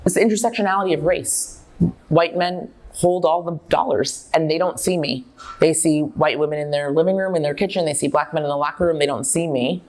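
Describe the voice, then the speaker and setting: A woman's voice: sits low, fairly quick, darkish tone, rough recording. A middle-aged woman speaking emphatically and candidly in an interview, telling stories as a company founder and dietitian. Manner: emphatic, self-assured, candid. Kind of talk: on-stage interview, personal storytelling.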